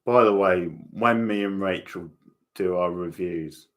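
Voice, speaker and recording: A man's voice: low-pitched, unhurried. A man giving casual live watchalong commentary as host, chatty and informal, reacting in short bursts.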